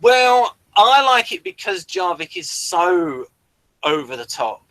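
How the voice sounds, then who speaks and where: A man's voice: sits high, very animated, slow, fairly bright tone, dry recording, poor audio. A man hosting a casual, conversational review discussion. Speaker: podcast host.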